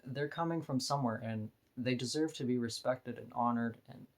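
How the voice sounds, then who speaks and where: A woman with a deep voice, talking bluntly and frankly in a candid personal vlog monologue, laughing now and then between points. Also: normal speed, a little mellow. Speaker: vlogger.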